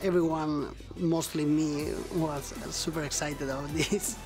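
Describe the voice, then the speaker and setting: A man's voice: on the high side, steady tone, measured pace. A man in his twenties with an Argentine Spanish accent, giving a studio interview as a professional player. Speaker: professional card game player. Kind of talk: interview monologue. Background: music.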